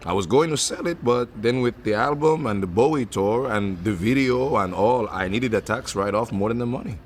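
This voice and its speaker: An actor playing a suave, gallant Cuban-born man in a radio drama, speaking gently and intimately as he teases and woos. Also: medium pitch, moderate pace, a little hiss or hum, natural voice. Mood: matter-of-fact. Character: suave Cuban-born man, successful performer, tender and teasing suitor. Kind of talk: comedic radio drama acting.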